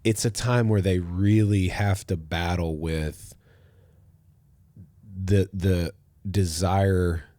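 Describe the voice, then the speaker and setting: Low-voiced pastor hosting a casual chat. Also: male voice, slow pace, pauses often, no echo. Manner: relaxed, thoughtful, trails off softly at the ends of phrases. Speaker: pastor and podcast host. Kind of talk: casual conversational podcast.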